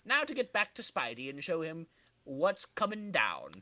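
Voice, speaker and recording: A man's voice: a little high, huge pitch swings, moderate pace, sharp tone, no echo, full character voice. A man voicing a boastful, vengeful skateboarding comic-book villain with swaggering, slangy tough talk. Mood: taunting.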